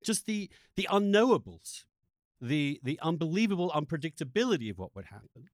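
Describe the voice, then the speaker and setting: A man hosting an interview podcast, speaking reflectively and earnestly in halting, emphatic phrases that often break off and restart. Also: a little high, big pitch swings, no echo, studio quality. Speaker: podcast host interviewing a guest.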